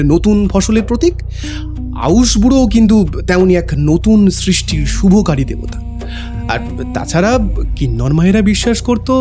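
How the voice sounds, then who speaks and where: A man's voice: on the high side, natural voice. A man playing a grave, knowledgeable explainer of village folk belief in a horror audio drama, speaking emphatically. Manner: explanatory, emphatic, rhetorical questions.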